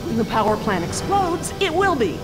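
A woman playing a composed, earnest female scientist in a video game, speaking in measured, precise phrases. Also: fairly high pitch, sing-song. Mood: urgent. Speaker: scientist character. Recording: loud film-style music behind. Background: game music and sound effects.

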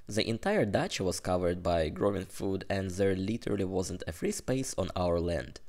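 A Russian-accented man in his twenties talks in a relaxed, chatty vlog manner. His voice sits a little low, and his phrasing sometimes pauses and restarts as he searches for words. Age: 20s. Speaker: vlogger giving a house tour. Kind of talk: casual vlog narration. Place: outdoor garden plot. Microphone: phone at arm's length.

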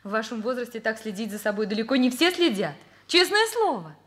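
Coy, high-pitched young secretary. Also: female voice, lively intonation, fairly quick, a bit bright. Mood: coy, wheedling. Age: young adult. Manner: coy, wheedling, chatty. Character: naive, coy young secretary, fashion-minded and chatty. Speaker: actor in a radio play. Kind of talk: Soviet radio drama acting.

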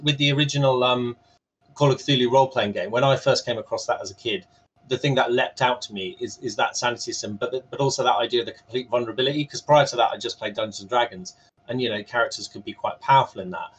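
A man's voice: mid-range voice, a bit bright, husky. A man moderating a casual panel discussion on a video call, rephrasing his question as he goes. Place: room at home, video call. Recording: distant, noisy video call.

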